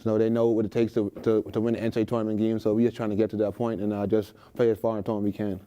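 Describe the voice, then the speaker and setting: A man's voice: on the low side, little pitch movement, rapid-fire, mellow, muffled recording. A young man from the Bahamas with a Bahamian accent, answering interview questions in a laid-back way with many fillers. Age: young adult. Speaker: college basketball player guest.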